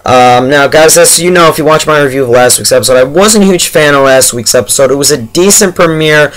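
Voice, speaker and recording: A man with a hoarse, tired voice giving a casual, chatty, somewhat halting TV episode review straight to his viewers. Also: a little high, a bit bright, poor audio. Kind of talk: casual spoken review to camera.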